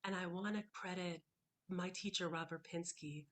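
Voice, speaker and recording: A woman's voice: lowish voice, fairly even intonation, moderate pace, fairly bright tone. A woman whose first language is Hebrew, reading her poems at an online reading and talking about them thoughtfully and hesitantly between poems. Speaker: poet reading her work. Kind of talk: poetry reading with commentary. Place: home, on a video call. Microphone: computer microphone on a video call.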